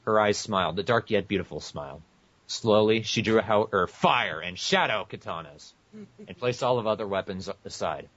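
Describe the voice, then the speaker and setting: A man's voice: on the low side, sing-song, normal speed, a bit bright. A man co-hosting a podcast, reading fan fiction aloud with casual asides and often tripping over his words. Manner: stumbles over words and corrects himself. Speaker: podcast co-host. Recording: muffled, echoey lo-fi podcast audio.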